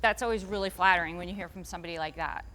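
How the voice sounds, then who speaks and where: A woman's voice: lowish voice, very fast, fairly bright tone, low-quality recording. A woman author answering interview questions in a chatty, rambling way, restarting her sentences often and lifting her voice for emphasis.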